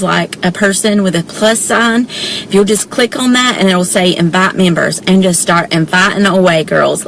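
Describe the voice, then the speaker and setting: A woman's voice: medium pitch, a little hiss or hum, low-quality recording. A woman from South Georgia with a Southern accent, chatting on a live stream from her parked car, greeting viewers by name and saying y'all a lot. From South Georgia, USA. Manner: chatty, warm greetings, frequent y'all, laughs often. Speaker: direct-sales presenter hosting a live stream. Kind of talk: casual livestream chat greeting viewers. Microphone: phone held or mounted in car.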